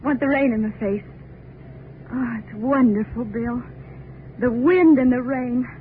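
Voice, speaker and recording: A woman's voice: a little high, unhurried, natural voice. An actress playing a giddy, breathless young bride in love with her new husband, in 1930s radio acting style. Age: young adult. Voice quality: breathless, sentimental. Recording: tinny old radio recording with faint hiss.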